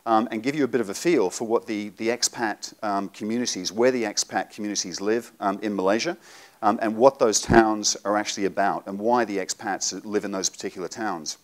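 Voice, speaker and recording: Man in his forties, lowish voice, seminar presenter, relaxed, lots of ums, conference room. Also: brisk. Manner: relaxed, frequent ums, explanatory. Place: hotel conference room with audience.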